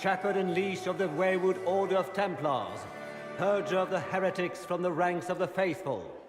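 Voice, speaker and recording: An actor playing a pompous court herald, making loud, formal, declamatory proclamations in a fantasy game. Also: male voice, high voice, expressive, normal speed. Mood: grand, proclaiming. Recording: long hall reverb, music behind.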